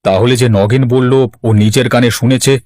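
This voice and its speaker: A man's voice: medium pitch, steady tone, normal speed, a little mellow, no room sound, studio quality, lightly acted. A man playing a gullible, stubborn married village headman hungry for wealth in a cartoon, with an earnest, dramatic delivery. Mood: stubborn, aggrieved. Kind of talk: Bengali cartoon voice acting.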